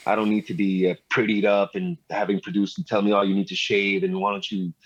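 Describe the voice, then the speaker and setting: A man's voice: lowish voice, little pitch movement, a little fast. A middle-aged man from Montreal, a rapper and producer telling his story in a laid-back way, full of street slang and "you know.". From Montreal, Canada. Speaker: rapper and music producer, guest. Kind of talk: casual interview storytelling. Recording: slightly dull, lo-fi sound.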